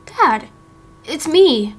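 A woman's voice: high voice, lively intonation, extremely slow, a bit bright, full character voice. A woman voicing a timid, anxious young man in a game roleplay, speaking hesitantly with stammers. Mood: pleading. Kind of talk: amateur game roleplay acting.

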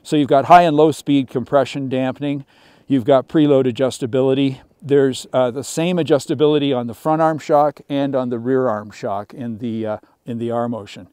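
A man's voice: medium pitch, steady tone, normal speed, dark tone, clean tone, dry recording, studio quality. A middle-aged man casually walking through a snowmobile's features outdoors in snowy woods, with frequent fillers. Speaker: snowmobile reviewer. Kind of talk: product walkaround presentation.

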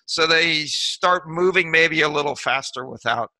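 A man's voice: on the high side, a little slow, bright, forceful tone, dry recording. A middle-aged man, a restaurant consultant and author, explaining in a rambling way full of fillers and restarts. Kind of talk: interview answer, casual explaining.

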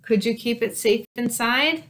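Woman as a gentle, unhurried father dog. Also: on the high side.

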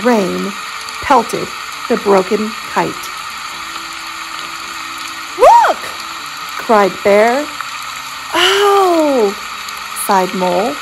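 A woman reading a children's picture book aloud in an expressive, dramatic way, drawing out the sound words. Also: mid-range voice, vocal fry, noisy background. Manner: slow, dramatic, drawn-out delivery of sound words.

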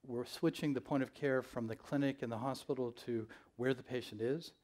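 An older man delivers a keynote from a large conference stage, measured and thoughtful, often pausing on ums and uhs. His voice sits in a middle register, picked up cleanly by a lapel mic. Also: medium pitch, fairly even intonation, darkish tone. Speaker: keynote speaker.

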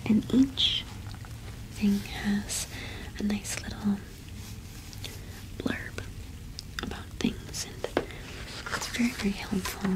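A young woman speaking in a soft, breathy whisper close to the microphone, in a gentle, halting ASMR roleplay as a cottage witch shopkeeper. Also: medium pitch, fairly even intonation, rough recording. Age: young adult.

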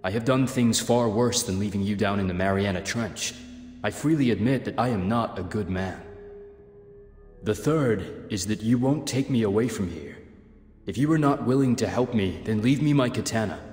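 Man acting a low-voiced, solemn clan leader. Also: a little mellow, natural voice. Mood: solemn, unyielding. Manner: formal, measured, stoic. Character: solemn young male underworld clan leader, dutiful, grave. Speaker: video game voice actor. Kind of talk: dramatic game cutscene acting. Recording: reverberant game audio with music behind. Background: music.

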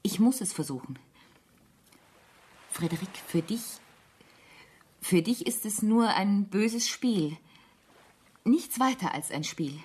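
A woman's voice: mid-range voice, lively intonation, takes it slowly, pauses often, a little mellow, husky. An actress playing a proud, distrustful, desperate woman in her thirties in a radio drama, with an intense, pleading manner. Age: 30s.

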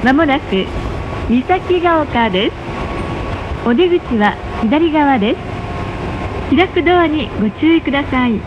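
High-pitched woman's train announcement, muffled and noisy. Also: animated. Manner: polite, formal, measured enunciation. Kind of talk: pre-recorded scripted public announcement. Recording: muffled, noisy onboard train speaker recording. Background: rumble of a moving train.

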